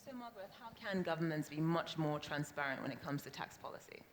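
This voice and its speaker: A woman's voice: low voice, expressive, quick pace. A woman moderating a panel discussion in a conversational manner. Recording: faint background noise.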